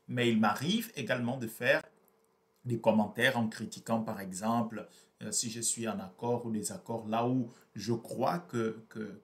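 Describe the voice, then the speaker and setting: A man whose first language is Portuguese, explaining a book in a hesitant, repetitive way with many fillers. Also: mid-range voice. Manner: hesitant, repeats words, frequent fillers. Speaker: book reviewer. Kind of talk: explanatory book review talk.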